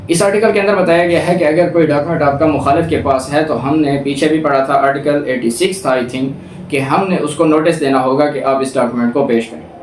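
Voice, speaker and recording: A man's voice: on the high side, fast talker. A man with a Pakistani accent giving an explanatory law lecture, reading out and rephrasing points in a didactic way. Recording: echoey room, distant mic, background noise.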